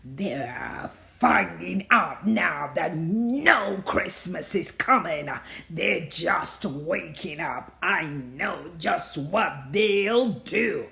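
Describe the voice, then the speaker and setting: Sly grumpy creature villain played by a woman, storybook read-aloud, lowered pitch, drawn-out mock-sweet words, swooping melody. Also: animated, vocal fry, bright, forceful tone, full character voice. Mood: gloating.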